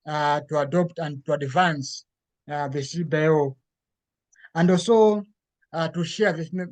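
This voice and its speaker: A man with a slightly raised pitch delivers a workshop report over a video call. He talks in a halting way, pausing on fillers and restarting his phrases. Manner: hesitant, many fillers and restarts. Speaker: workshop participant reporting back. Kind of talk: spoken group report.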